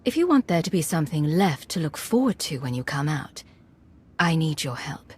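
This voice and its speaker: A composed, pragmatic commander in a video game. Her voice is husky and fairly low, with a dry, understated manner. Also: sing-song, normal speed, full character voice. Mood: firm.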